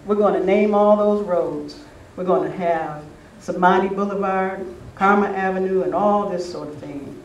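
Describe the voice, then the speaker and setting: High-voiced older man in a roomy space. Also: normal speed, few pauses, highs cut off. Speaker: speaker at a spiritual retreat. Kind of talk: informal anecdotal talk to a gathering. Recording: roomy, distant mic with faint noise.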